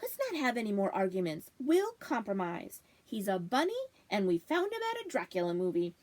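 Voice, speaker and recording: A woman's voice: medium pitch, sing-song, brisk, a little mellow. A woman voicing a weary, coaxing storybook mother of two boys, with a sighing, strained sweetness. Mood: firm.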